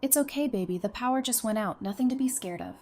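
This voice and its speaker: A woman's voice: a little high, animated, quick pace, darkish tone. A woman playing a stubborn, affectionate young mother and wife in an audio drama, casual and wry. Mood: soothing. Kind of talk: fan audio drama acting.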